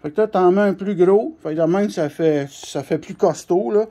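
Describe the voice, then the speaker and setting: A man's voice: high voice, moderate pace, noisy background. A man with a Québécois French accent giving a casual, unscripted hands-on product demonstration in blunt, colloquial language. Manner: blunt, colloquial, mildly crude. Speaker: CB radio shop specialist presenting a product tutorial.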